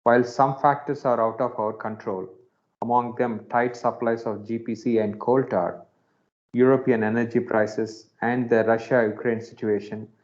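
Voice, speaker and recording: A man in a senior company role reading scripted business remarks in a formal, measured way. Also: mid-range voice, a little mellow. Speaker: senior company executive giving closing remarks. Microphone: conference call line. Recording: dull, lo-fi conference call audio.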